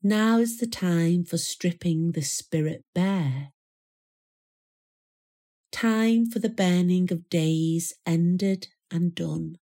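A woman reciting a poem in a measured, solemn manner with deliberate pauses. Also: fairly low pitch, warm tone. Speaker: poetry reader. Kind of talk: poetry recital.

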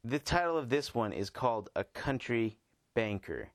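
A man hosting a podcast alone with casual commentary, phrasing things in halting, emphatic pieces. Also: mid-range voice, very animated, no room sound, clean studio sound. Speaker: podcast host.

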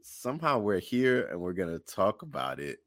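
A man's voice: lowish voice, big pitch swings, moderate pace, fairly bright tone, no echo, clean studio sound. A man co-hosting a podcast in casual banter, with an emphatic, mock-incredulous delivery. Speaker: podcast co-host.

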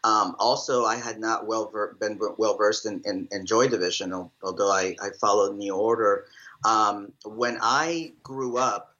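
Rambling middle-aged man, low voice. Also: fairly even intonation, unhurried, bright, forceful tone, dull audio. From El Salvador. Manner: rambling, many fillers and restarts. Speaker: drummer, interview guest. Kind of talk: casual interview answers.

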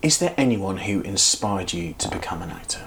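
A man hosting an interview podcast, unhurried and full of effusive praise for his guest. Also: low voice, lively intonation, vocal fry, a bit bright, slight background noise. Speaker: podcast host. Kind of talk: casual interview chat.

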